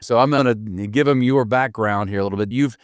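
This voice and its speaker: A man's voice: medium pitch, a little fast, no echo, studio quality. A man hosting a podcast, friendly and self-deprecating, joking as he introduces his guest. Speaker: podcast host. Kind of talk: casual interview talk.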